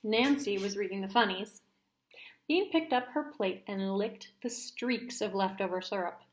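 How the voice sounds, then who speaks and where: A woman's voice: medium pitch, a little slow. A woman narrating a children's story aloud, as a teacher reading to pupils.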